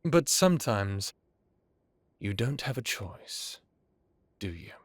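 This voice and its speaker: Male actor as ancient vampire lord for audio drama: low, slow, genteel, knowing, world-weary. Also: very animated, no echo, exaggerated voice. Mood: reassuring. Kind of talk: dramatic audio roleplay acting.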